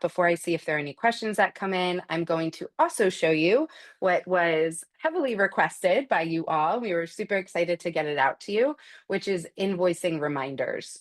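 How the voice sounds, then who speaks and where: Low-pitched woman leading a software walkthrough. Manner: explanatory, conversational, addressing attendees directly. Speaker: software product trainer hosting a webinar. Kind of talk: live screen-share walkthrough, instructional.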